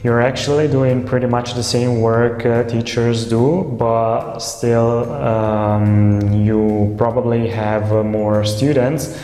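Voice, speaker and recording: Young Italian man, casual vlog talk, halting with fillers; mellow tone, roomy echo. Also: medium pitch, steady tone, soft background music. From Italy. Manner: hesitant, many "uh" fillers. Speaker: online language tutor. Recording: echoey room with long reverb.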